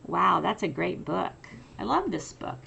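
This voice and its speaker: A woman reading a children's picture book aloud with drawn-out, emphatic, expressive storytelling. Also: on the low side, creaky, a little hiss or hum.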